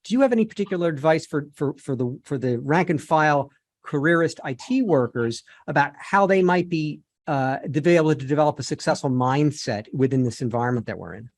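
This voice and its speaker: A male podcast host interviews a guest, stringing long, carefully built questions together with small restarts. His voice sits a little higher than most men's. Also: animated, a little fast.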